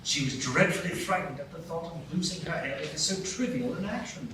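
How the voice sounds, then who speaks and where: A man narrating a live radio play in a measured storytelling manner. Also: on the high side, pushed and bright. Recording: echoey live stage from far off, with noise.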